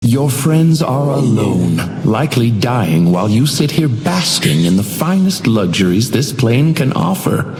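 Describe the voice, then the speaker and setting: A suave, otherworldly villain of a video game, plays the courteous doorman with theatrical menace. His mocking lines swoop widely in pitch through a cavernous reverb, with music behind. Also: big pitch swings, measured pace, a little mellow. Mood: gloating menace. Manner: silky formal politeness laced with cruel taunting.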